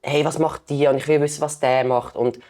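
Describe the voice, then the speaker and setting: A young woman from Switzerland chats as a guest on a podcast. She talks casually, telling her stories in an animated way with sudden leaps in pitch. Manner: chatty, quick shifts in pitch, animated storytelling. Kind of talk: casual conversation.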